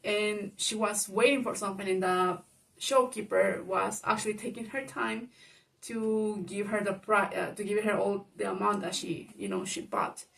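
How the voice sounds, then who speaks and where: A woman whose first language is Spanish talks through a personal story, with a Spanish-speaking accent and a loud voice. Her speech runs on with fillers and restarts, and a gravelly fry creeps in. She sits a little back from the microphone. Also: medium pitch, fairly even intonation, moderate pace, recorded from a distance, poor audio. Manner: rambling, lots of fillers, grows loud when reenacting arguments. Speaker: vlogger.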